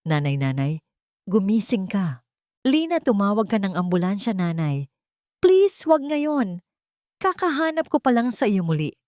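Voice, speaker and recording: A woman's voice: medium pitch, very animated, measured pace, long pauses, darkish tone, no echo, studio quality, voice pushed up. Woman voicing a desperate remorseful son. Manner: pleading, emotional outbursts.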